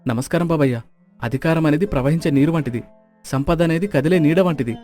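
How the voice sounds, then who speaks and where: An actor playing a gentle, humble, devout temple keeper in a moral story, philosophical and pleading. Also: male voice, a little high, a little fast, soft background music, lightly acted. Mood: serene.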